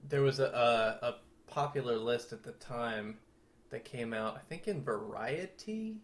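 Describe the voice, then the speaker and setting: A man with a mid-range voice co-hosts a conversational film history podcast. He explains things in a measured, thoughtful manner, talking through details as he goes. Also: mid-range voice, expressive. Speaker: podcast co-host.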